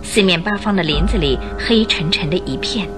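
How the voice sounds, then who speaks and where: A woman narrating a radio drama, telling the story in an expressive, suspenseful scripted style. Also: on the low side, normal speed, music in the background.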